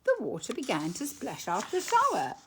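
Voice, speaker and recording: A woman reading a children's picture book aloud expressively, with dramatic emphasis and drawn-out phrases. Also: on the high side, wildly swooping pitch, a bit bright, a little hiss or hum. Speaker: storytime reader.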